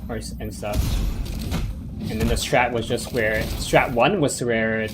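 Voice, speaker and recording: A man's voice: mid-range voice, steady tone, measured pace, over loud music. A man streaming a game, chatting casually and rambling with lots of fillers. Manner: rambling, many fillers and restarts. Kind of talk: casual gaming commentary.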